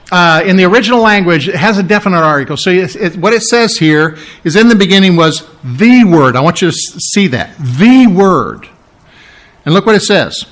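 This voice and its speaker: A middle-aged man preaching a teaching sermon, explaining scripture with asides and humor and slowing down to stress key phrases. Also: on the high side, a bit bright. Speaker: pastor.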